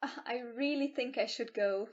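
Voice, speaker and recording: A woman in an audio play acting a polite, timid, uneasy young woman with a quiet, hesitant manner. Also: a little high, normal speed, lo-fi sound, lightly acted. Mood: reserved, uneasy.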